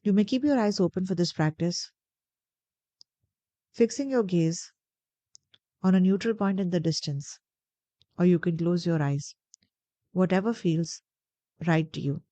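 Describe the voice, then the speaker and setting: A woman guiding a meditation in a gentle, deliberate, soothing way, pausing between phrases. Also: on the low side, no room sound. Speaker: meditation guide. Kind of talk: guided meditation narration.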